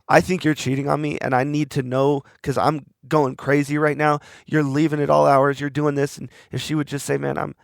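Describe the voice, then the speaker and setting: A man's voice: fairly high pitch, gravelly, dry recording, clean studio sound. A man hosting a podcast, talking alone in a rambling, earnest way while he is losing his voice. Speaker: podcast host. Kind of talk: reflective solo monologue.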